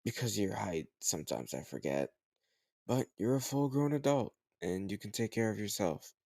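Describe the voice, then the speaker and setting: A man playing a teasing, slightly older home tutor who gently chides the listener in a conversational, intimate roleplay audio drama. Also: on the low side, expressive, normal speed, creaky, no echo, studio quality. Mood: gently chiding.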